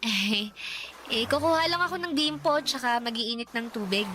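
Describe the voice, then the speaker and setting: An actress playing an eager, caring eighteen-year-old housemaid in a Tagalog radio drama, polite, hesitant and fussing. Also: female voice, fairly high pitch, expressive, moderate pace, background noise, natural voice. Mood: shy, caring.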